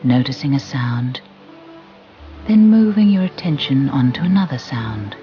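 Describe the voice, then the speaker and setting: Muffled recording with music behind, slow guided meditation narrator, soothing, gentle. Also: mid-range voice, expressive. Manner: soothing, gentle, deliberate with long pauses. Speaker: guided relaxation instructor. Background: background music.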